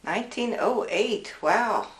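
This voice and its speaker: A woman interviewing an elderly nun for an oral history, gentle and encouraging with short acknowledgments. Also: fairly high pitch, expressive, slow pace, echoey room, rough recording.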